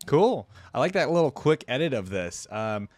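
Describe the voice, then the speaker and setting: A man's voice: medium pitch, sing-song, fairly quick. A man giving casual, enthusiastic, slangy reaction commentary as the host of a video. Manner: informal, slangy, enthusiastic fan talk.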